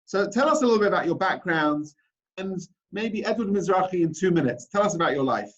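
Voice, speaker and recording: A man's voice: high voice, lively intonation, brisk. A man, a rabbi, hosting a casual remote interview in a friendly, enthusiastic way. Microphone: video call. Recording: dull lo-fi video call audio.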